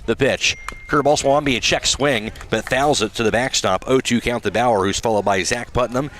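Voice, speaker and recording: Give a man's mid-range voice working as a radio baseball announcer. He calls the game live, pitch by pitch, in short clipped fragments. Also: fairly quick, a bit bright, slight background noise. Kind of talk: live sports play-by-play commentary. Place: outdoor ballpark broadcast.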